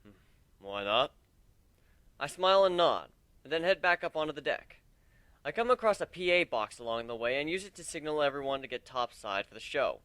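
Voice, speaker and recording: Animated, bright-voiced man reading a story. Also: fairly high pitch, a little fast, dry recording. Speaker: amateur story reader. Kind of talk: dramatic first-person story narration.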